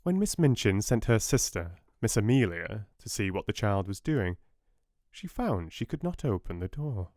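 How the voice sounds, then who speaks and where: Soft, low-pitched British male narrator. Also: sing-song, normal speed, no echo, clean studio sound. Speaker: audiobook narrator. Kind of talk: soft-spoken storybook narration.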